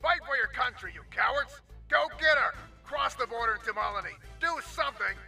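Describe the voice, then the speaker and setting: Enraged army villain, very high strained voice, gravelly, panicky, music behind, radio drama. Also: male voice, fairly quick, sharp tone, exaggerated voice. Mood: furious. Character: enraged, panicky male military villain barking orders.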